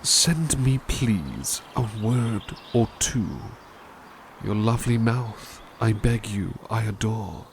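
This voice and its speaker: A man voicing a doting butler in a soft-spoken ASMR roleplay, talking gently and soothingly with hesitant restarts. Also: lowish voice, lively intonation, slow, noisy background.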